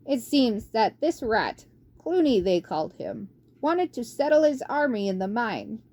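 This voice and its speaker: A woman voicing an ancient, fussy and forgetful male mouse scholar who squeaks barely above a whisper and mutters to himself. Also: expressive, a little slow, a little mellow. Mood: reciting. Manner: doddering, muttering hmm and tutting. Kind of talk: audiobook character voice.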